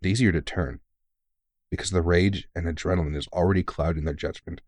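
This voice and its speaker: A man playing a kindly, tired veteran vampire hunter in an intimate audio roleplay, reassuring a rookie in an informal, gently teasing and sweary way. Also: sits low, fairly even intonation, a little fast, dry recording, studio quality. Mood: encouraging, wry.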